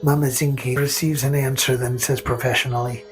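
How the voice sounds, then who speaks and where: A man narrating a scripted fantasy story in the second person, with a gentle, whimsical storytelling manner. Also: mid-range voice, flat delivery, moderate pace. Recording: faint music under narration.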